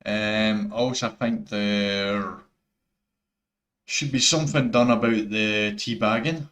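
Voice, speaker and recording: A man's voice: lowish voice, fairly even intonation, slow, fairly bright tone. A man with a thick Scottish accent, giving rambling, earnest opinions over gameplay footage, full of fillers and restarts. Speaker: gaming YouTuber.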